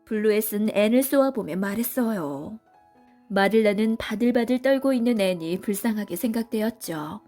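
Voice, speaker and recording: A woman's voice: mid-range voice, lively intonation, normal speed, dark tone, soft background music. A woman reading scripted narration for a children's story as a storybook narrator.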